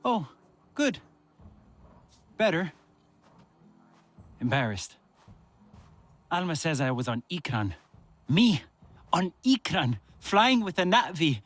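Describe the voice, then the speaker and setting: An actor playing a gentle, self-deprecating male former wildlife researcher in a video game. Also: a little high, sing-song, slow, long pauses, full character voice. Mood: rueful.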